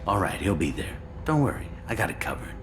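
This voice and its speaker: An actor playing a sleazy, smug criminal fixer in a video game, gravelly and sneering. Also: male voice, deep voice, sing-song, brisk, over music. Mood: grudging. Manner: sneering, sarcastic. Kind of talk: video game dramatic dialogue.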